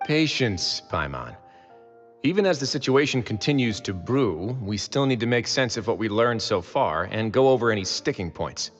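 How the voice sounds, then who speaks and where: Game role: authoritative warden duke, lower register, calm measured delivery with dry wit, over music. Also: male voice. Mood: calm, probing. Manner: measured, drily teasing, self-assured. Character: composed, wry, authoritative male duke and prison warden. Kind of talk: video game character voice acting.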